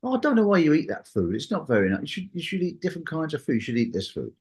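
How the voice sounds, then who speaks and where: Man chatting casually, very animated pitch. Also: racing. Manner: rambling, anecdotal, acts out reported dialogue. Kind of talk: casual conversational discussion.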